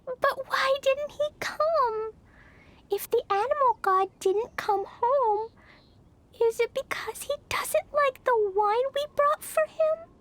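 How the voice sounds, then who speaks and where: A woman voicing a cheerful, innocent little girl in a video game, with an excitable, childlike manner. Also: very high voice, slow pace, heavily acted. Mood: sad, puzzled. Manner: childlike, wide-eyed, excitable. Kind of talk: video game character acting.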